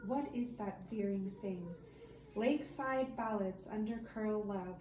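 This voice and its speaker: A woman's voice: medium pitch, measured pace, a little mellow. A woman reading a poem aloud to others. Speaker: family member reading a poem. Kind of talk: poetry reading. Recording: echoey room, clear background noise.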